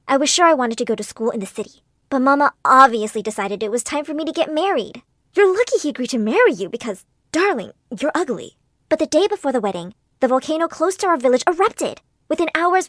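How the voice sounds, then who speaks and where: A woman narrating a dramatic storytime tale and voicing the characters in it, in a theatrical, animated way. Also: sits high, fast, no room sound, studio quality.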